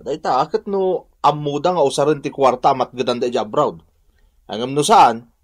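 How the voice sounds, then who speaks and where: A man's voice: medium pitch, normal speed, close to an everyday voice. A man playing a nosy, sceptical village husband in a radio comedy drama, questioning and exclamatory, with a Northern Luzon Filipino accent. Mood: doubtful, surprised.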